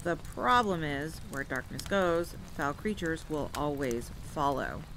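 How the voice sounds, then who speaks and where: A woman playing an exasperated veteran monster hunter in an audio roleplay, wry and teasing, solemn when telling lore. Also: measured pace. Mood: solemn storytelling. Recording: steady rain and rumble behind. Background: rain, campfire ambience.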